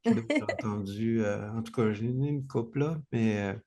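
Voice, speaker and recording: A man with a Quebec French accent hosting a casual interview, speaking haltingly and repeating words. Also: fairly low pitch, crowd noise. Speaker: interview host.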